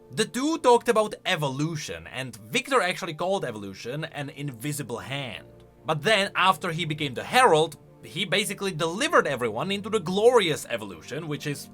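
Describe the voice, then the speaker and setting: A man giving casual, expressive commentary as a video host, thinking aloud as he goes. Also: fairly high pitch.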